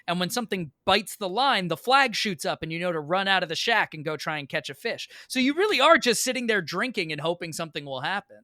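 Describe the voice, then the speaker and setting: A man's voice: sits high, lively intonation, fast, few pauses, sharp tone, dry recording, clean studio sound. A man from the northern United States, a podcast guest explaining and telling anecdotes in wry, casual chat.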